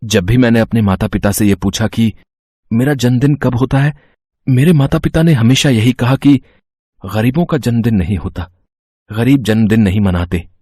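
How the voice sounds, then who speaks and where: A man's voice: mid-range voice, normal speed, mellow, breathy edge, no room sound, studio quality, natural voice. A man playing a humble, polite young delivery man in a moral story cartoon, earnest and a little hesitant. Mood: sad. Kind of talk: animated Hindi moral story acting.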